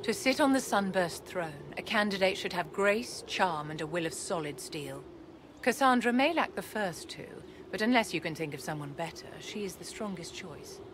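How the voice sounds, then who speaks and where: Actress as a low-voiced, imperious court enchantress. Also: female voice, expressive, noisy background, natural voice. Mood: firm, persuasive. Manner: poised, haughty, condescending endearments, measured formal speech. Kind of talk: video game cutscene acting.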